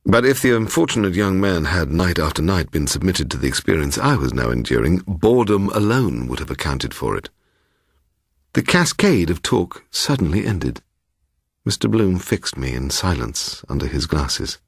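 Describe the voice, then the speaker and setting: Deep, gravelly male storyteller. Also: big pitch swings, moderate pace, no echo, studio quality. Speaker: audiobook narrator. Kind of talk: dramatic first-person ghost story narration.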